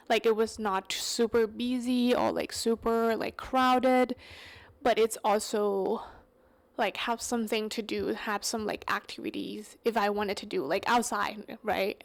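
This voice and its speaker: A young woman from Thailand with a Thai accent, English not her first language, rambling casually through a solo podcast with many fillers and self-corrections. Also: fairly high pitch. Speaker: podcast host.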